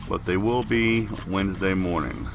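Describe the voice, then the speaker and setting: A man's voice: sits low, lively intonation, moderate pace, vocal fry, over music. A man giving casual, plain-spoken game walkthrough commentary as a video host while getting over a cold.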